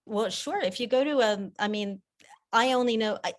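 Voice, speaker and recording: A woman's voice: on the high side, moderate pace. A woman whose first language is French, speaking English with an American-sounding accent, chatting in an interview about her voice-acting work with frequent restarts. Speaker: guest, voice actor and theater producer. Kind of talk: conversational interview.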